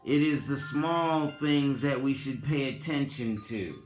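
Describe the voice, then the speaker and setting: A man hosting a dramatic reading and reflective commentary, speaking in a deliberate, emphatic way with pointed emphasis. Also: medium pitch, soft background music. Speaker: host giving a reading and commentary. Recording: roomy, echoing sound.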